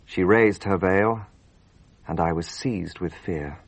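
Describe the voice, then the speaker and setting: An actor playing an earnest, impulsive gentleman who narrates a radio drama, with a husky voice. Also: deep voice, slow pace, a little hiss or hum, heavily acted. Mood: grave narration.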